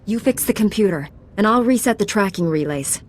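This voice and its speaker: Woman acting a measured, resolute Jedi knight. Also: mid-range voice, lively intonation, lightly acted. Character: calm, resolute heroic female Jedi knight. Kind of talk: video game voice acting.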